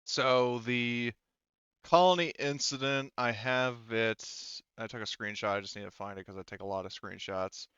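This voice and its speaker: A man's voice: medium pitch, fairly even intonation, normal speed, fairly bright tone, smooth, dry recording, highs cut off. A man casually running a tabletop roleplaying game as game master, chatting informally and swearing freely. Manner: informal, profane.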